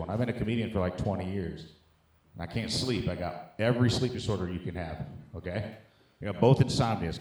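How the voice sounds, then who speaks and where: American stand-up comedian in an echoey room. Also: male voice, on the low side, darkish tone. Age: middle-aged. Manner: conversational, profane, emphatic, addressing the crowd as dude and guys. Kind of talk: stand-up comedy set before a live audience. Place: indoor comedy venue with live audience.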